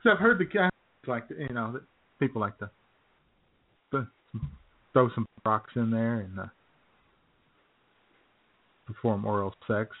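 A male radio co-host chats casually with a dry, joking manner. His pitch sits in the middle range, and the audio is narrow and phone-like. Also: a little slow, pauses often. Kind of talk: casual comedic banter.

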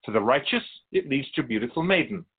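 A man playing a wise spirit who keeps souls in a radio drama, teaching in measured explanations with pointed asides. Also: medium pitch, animated, slightly rough, phone-quality sound. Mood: solemn, instructive. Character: ancient male spirit Keeper of Souls, wise storytelling teacher. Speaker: interviewed guest. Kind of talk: scripted radio drama dialogue.

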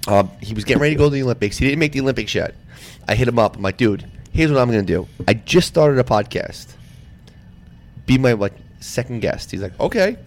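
A man's voice: mid-range voice, animated, fast talker, darkish tone. A man hosting a podcast, brash and swearing as he tells stories in casual chat. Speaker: podcast host.

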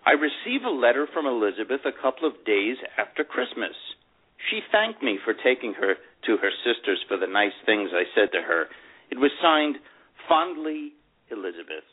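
An actor reading a memoir as a wistful, proud older divorced man, reflective and halting with emphatic openings, in a dramatic first-person style. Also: mid-range voice, sing-song. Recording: dull, lo-fi radio-like sound.

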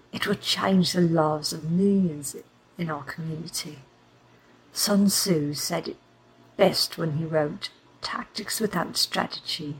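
An actress playing an elderly grandmother and former resistance fighter, reading out her own letter with steady conviction. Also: low voice, slightly rough, heavily acted, poor audio. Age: older. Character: elderly grandmother, war veteran, resolute. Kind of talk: audio drama acting.

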